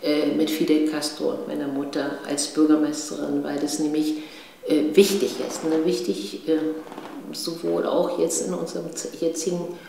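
A woman in her fifties with a Berlin accent, giving personal testimony in a halting way, searching for words and often adding tag questions. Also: low voice, level tone, gravelly, warm tone. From East Berlin, Germany, born in Chile. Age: 50s. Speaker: interviewee, political activist. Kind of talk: personal testimony, recounting family history to camera. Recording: very echoey room, faint background noise.

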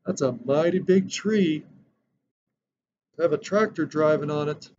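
Higher-voiced man reading a children's storybook. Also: unhurried. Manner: expressive, dramatic storytelling. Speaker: storybook reader. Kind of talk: children's picture book read-aloud. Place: indoor decorated room. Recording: dull lo-fi sound with background noise.

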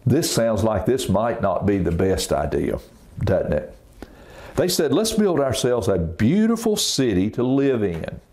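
Older preacher telling a children's story. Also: male voice, on the low side, big pitch swings, unhurried, darkish tone. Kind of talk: read-aloud storytelling with informal asides.

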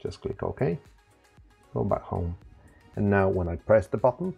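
A man presenting an informal, halting step-by-step product walkthrough as a tutorial host. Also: fairly low pitch, sing-song, vocal fry, warm tone, breathy edge, soft background music. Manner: halting, instructional, addresses viewers as guys.

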